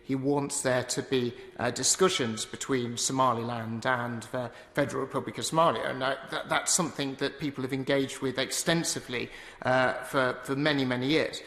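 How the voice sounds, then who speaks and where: A man's voice: medium pitch, fairly even intonation, moderate pace, hardly any pauses, fairly bright tone. Man pressing a point in a hall. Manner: fluent but padded with fillers, pressing a point. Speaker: member of parliament intervening. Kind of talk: parliamentary intervention. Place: parliamentary debating chamber. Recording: echoey chamber broadcast feed.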